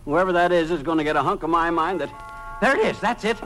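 An actor playing a blustering, irritable husband in vintage radio comedy, with excitable, slangy delivery. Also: male voice, on the high side, brisk, music in the background, natural voice. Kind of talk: 1940s radio comedy acting. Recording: old radio broadcast recording.

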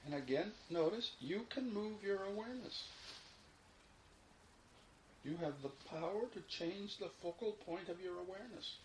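A man leading a guided meditation, giving unhurried, repeated instructions with long pauses between them. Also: sits high. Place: informal indoor room. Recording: roomy echo, faint background noise.

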